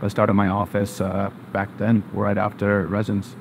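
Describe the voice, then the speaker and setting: A man's voice: on the low side, completely flat delivery, moderate pace, creaky, noisy background. A man born in Zambia and raised in New Jersey, a physician answering interview questions hesitantly with many uh's and um's. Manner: hesitant, lots of uh and um, plain spoken. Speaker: guest, family physician.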